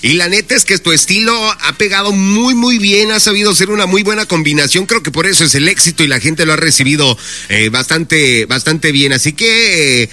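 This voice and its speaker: A man's voice: a little high, lively intonation, fairly quick, bright, forceful tone. A man with a Mexican accent hosting a radio interview, playful and full of slang. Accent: Mexican Spanish. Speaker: radio host.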